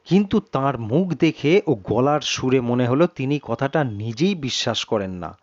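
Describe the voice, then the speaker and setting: A man reading a suspense story in a measured, literary, dramatic narration. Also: mid-range voice, lively intonation, darkish tone, dry recording, dull audio.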